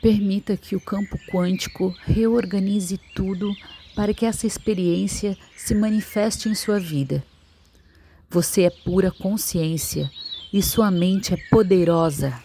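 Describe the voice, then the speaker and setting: A Brazilian woman narrating a scripted guided meditation in a soothing, measured, instructional manner. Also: medium pitch, mellow. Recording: constant waterfall noise behind the voice. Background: waterfall and nature sounds.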